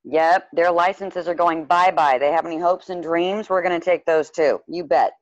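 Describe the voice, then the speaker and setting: Woman advocate, low voice, blunt and emphatic, dry muffled sound. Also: fairly even intonation, moderate pace, dry recording. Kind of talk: advising in a group meeting.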